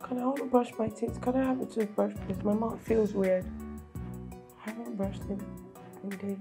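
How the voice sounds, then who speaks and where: A woman's voice: fairly high pitch, fairly even intonation, moderate pace, mellow, faint music behind, natural voice. An actress playing an outspoken young female captive who is cheeky and also pleads, in a film drama. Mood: meek, uneasy. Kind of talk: film acting.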